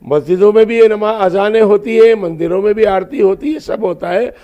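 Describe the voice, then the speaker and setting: High-pitched man explaining his position. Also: normal speed, warm tone, muffled recording. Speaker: religious organisation spokesman. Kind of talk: spoken interview statement.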